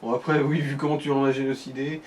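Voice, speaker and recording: A man's voice: medium pitch, little pitch movement, fast, darkish tone, slight background noise. A man giving casual commentary as the second voice on a video game let's play.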